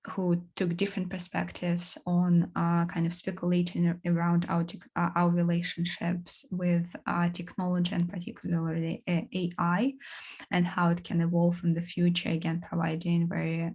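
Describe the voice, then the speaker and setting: A woman talks through a seminar topic in a low, flat voice with a gravelly, husky edge. She halts often, filling gaps with hesitations and restarting her sentences. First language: Russian. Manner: halting, many fillers and restarts. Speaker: course presenter. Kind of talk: academic seminar talk.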